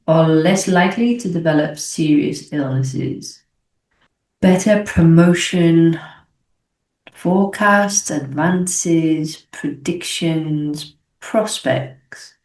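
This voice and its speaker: A woman's voice: sits low, darkish tone. A young adult English teacher, a woman from the UK, explaining exam questions as she thinks aloud and corrects herself. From United Kingdom. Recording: echoey room.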